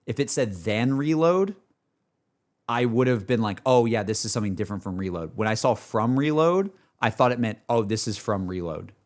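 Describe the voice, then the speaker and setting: A man's voice: on the low side, lively intonation, normal speed, a little mellow. A man giving a casual, rambling livestream reaction, peppering his talk with filler words like a laid-back chat among friends. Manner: rambling, heavy use of "like" and "dude". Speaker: streamer reacting to game music.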